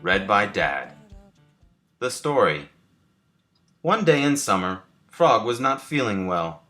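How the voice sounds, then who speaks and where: Male storybook reader, fatherly bedtime narration, lowish pitch with lively swings, measured and singsong. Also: faint music behind. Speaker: dad reading bedtime stories. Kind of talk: expressive children's storybook narration.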